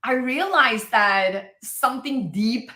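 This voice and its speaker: A woman's voice: on the high side, animated, slow, sharp tone, echoey room. A woman with a Turkish accent, a podcast host whose first language is Turkish, talking in an enthusiastic, affirming way. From Turkey. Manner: enthusiastic, affirming, laughs often. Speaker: podcast host and NLP teacher. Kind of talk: conversational interview.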